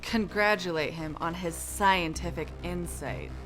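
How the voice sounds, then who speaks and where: A sharp, forceful voice over faint music: a woman acting an assertive female research scientist. She has a sardonic edge and speaks as in a radio play. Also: on the low side, measured pace. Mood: exasperated.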